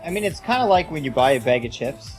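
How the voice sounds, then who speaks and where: A man's voice: medium pitch, big pitch swings, fast, faint music behind. A man hosting a podcast in a casual, slangy, laid-back way. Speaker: podcast host.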